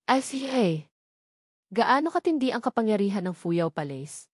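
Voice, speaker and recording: A woman's voice: mid-range voice, sing-song, normal speed, slightly rough, dry recording. Woman voicing a cheeky, flirtatious male warrior, dry sound. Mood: teasing. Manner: teasing, flirtatious.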